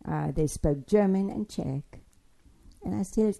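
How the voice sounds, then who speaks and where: An older woman telling her life story in a halting, digressive way, with frequent restarts and fillers. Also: mid-range voice, creaky, mellow. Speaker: interviewee recounting childhood. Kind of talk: spoken memoir, oral history.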